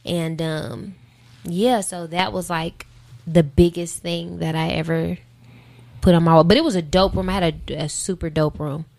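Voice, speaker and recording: American woman with a lowish voice, talking casually. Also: fairly even intonation, normal speed, gravelly, a little mellow. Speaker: interview guest, singer and rapper. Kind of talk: casual conversational interview.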